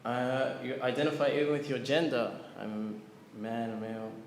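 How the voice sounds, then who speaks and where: A man gives a scripture class, explaining patiently and turning to his listeners with questions. His voice sits at an ordinary middle pitch. It rings through a large, echoey hall with a long reverb tail and faint room ambience behind it. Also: normal speed, slight background noise. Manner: earnest teaching, asks audience questions. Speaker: lecturer on scripture. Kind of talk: devotional class with chanting.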